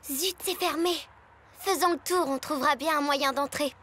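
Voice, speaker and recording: A woman voicing a teasing, resourceful schoolgirl in an animated series, with a youthful, girlish voice. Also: high voice, normal speed, slight background noise. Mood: determined. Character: teasing, resourceful schoolgirl, bold and quick-witted. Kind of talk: French animated series voice acting.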